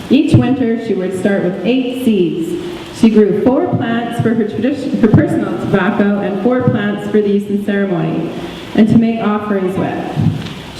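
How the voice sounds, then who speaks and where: A woman reading a book chapter aloud as narrator, measured and reflective. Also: mid-range voice, mellow. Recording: echoey hall, distant mic, faint noise.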